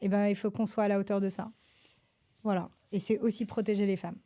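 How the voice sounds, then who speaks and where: A woman from France, a government advisor, answers interview questions in a hesitant, halting way with many filler sounds and restarts. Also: mid-range voice, little pitch movement, mellow. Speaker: government advisor, podcast guest.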